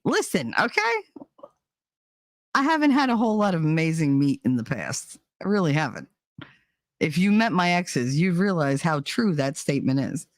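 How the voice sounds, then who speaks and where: A middle-aged American woman from New Jersey chatting casually as a live show host, blunt, teasing and quick to swear. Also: low voice, no echo, clean studio sound. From New Jersey, United States. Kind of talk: casual chat with listeners.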